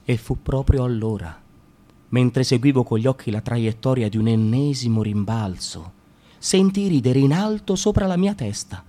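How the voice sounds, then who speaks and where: An Italian man tells a literary story for a radio drama. His voice swings from hushed, near-whispered passages to loud bursts of excitement, in a mid range. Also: mid-range voice, normal speed.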